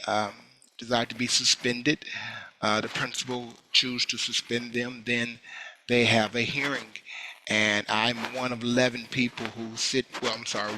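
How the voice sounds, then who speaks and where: A man speaking as a school hearing official in an online workshop, halting with many fillers and restarts. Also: medium pitch, background noise. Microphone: video call.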